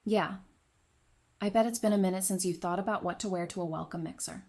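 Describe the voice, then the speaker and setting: A woman's voice: lowish voice, a little fast, darkish tone. Frank, assured female friend played by a woman. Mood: friendly, assured. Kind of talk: audio drama dialogue.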